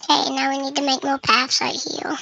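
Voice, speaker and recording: A very bright, high voice run through a voice changer gives casual game commentary. It is creaky and sounds dry and close, chatting to viewers and thinking aloud while playing. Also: flat delivery, fairly quick, no echo. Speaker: gaming video creator.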